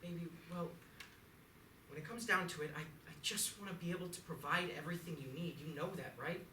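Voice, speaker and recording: A woman's voice: fairly low pitch, a little fast, pushed and bright, slight background noise. A woman reading the part of an insecure, stubborn middle-aged husband in a staged play reading. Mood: defensive. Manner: stage reading with sudden cracking outbursts. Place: theatre auditorium. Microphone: distant stage pickup. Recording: echoey theatre taped from far off.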